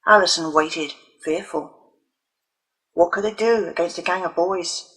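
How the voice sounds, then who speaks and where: A woman narrates an audio novel for older children. Her voice is sharp and forceful with a husky grain, and she tells the story deliberately and dramatically. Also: fairly low pitch, rough recording. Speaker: author reading own novel.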